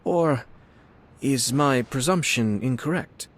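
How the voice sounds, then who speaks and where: An actor playing a courteous, composed young nobleman in a video game, speaking in a formal, measured and polite manner. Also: mid-range voice, animated, slight background noise. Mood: politely persuasive. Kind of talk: English video game voice acting.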